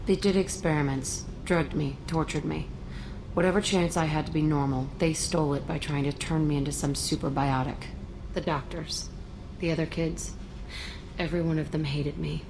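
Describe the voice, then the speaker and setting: A woman's voice: sits low, fairly even intonation, normal speed, background noise. An actress playing a blunt, bitter, foul-mouthed young female ex-prisoner in a video game. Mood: bitter, guarded. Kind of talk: video game character dialogue.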